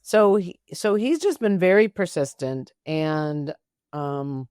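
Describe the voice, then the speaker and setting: A woman with a fairly low voice hosts a conversational interview. She is informal and chatty, working through her thoughts out loud, and the sound is dry and close. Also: animated, slow pace, clear, resonant tone, no echo. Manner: informal, chatty, thinking aloud. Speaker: interview show host.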